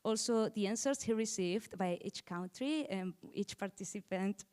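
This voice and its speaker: Woman hosting an event, mid-pitched voice, semi formal welcome speech introducing speakers. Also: normal speed, darkish tone, smooth.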